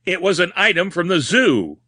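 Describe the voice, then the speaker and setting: A man voicing an old, grizzled sea captain who talks in old-fashioned sailor's phrasing, gruff and grieving. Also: high-pitched, sing-song, normal speed, bright, forceful tone, dry recording, clean studio sound, pitched above the performer's own voice, full character voice. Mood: grieving, guarded. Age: older.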